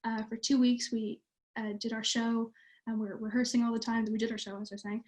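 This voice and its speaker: A woman's voice: fairly high pitch, little pitch movement, fast, darkish tone. A teenage girl from Ireland, chatting casually on a livestream and using many fillers. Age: teens. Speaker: youth theatre participant.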